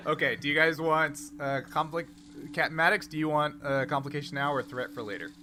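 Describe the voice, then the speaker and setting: Man game-mastering, clearly noisy recording. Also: a little high, steady tone, fairly quick. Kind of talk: running a tabletop role-playing game, improvised narration.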